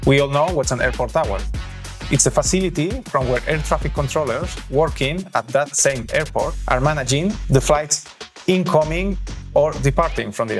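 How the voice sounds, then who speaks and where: This is a man with a Spanish accent and a mid-range voice, presenting an explainer. He narrates in an instructional, lightly scripted style. Music plays clearly behind him as he talks. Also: medium pitch. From Spain.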